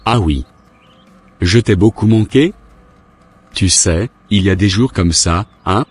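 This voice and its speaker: A curt, secretive husband. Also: male voice, sits low, takes it slowly, breathy edge, soft background music, exaggerated voice. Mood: casual. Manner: brusque, dismissive.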